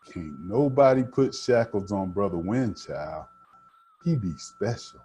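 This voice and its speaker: A man voicing a wise old country grandmother from a storybook, speaking in a measured, folksy way. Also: on the low side, expressive, warm tone, soft background music. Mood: firm, knowing.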